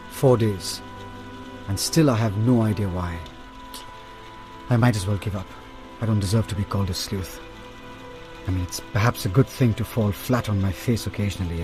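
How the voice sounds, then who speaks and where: An actor with an Indian accent playing a sharp, brooding male detective in a radio drama. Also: lowish voice, lightly acted.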